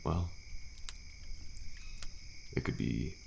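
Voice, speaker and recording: A man playing a weary, thrill-seeking survivor in an intimate ASMR audio roleplay, talking in a slow, laid-back drawl with pauses and sighs. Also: background noise. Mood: relaxed, reassuring. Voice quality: deep, gravelly. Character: weary, thrill-seeking male survivor of endless killer trials. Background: campfire ambience with crickets.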